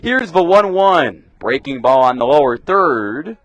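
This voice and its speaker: A man's voice: fairly high pitch, sing-song, measured pace, muffled recording. Young man calling baseball play-by-play. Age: young adult. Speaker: radio play-by-play baseball announcer. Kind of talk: live baseball play-by-play commentary.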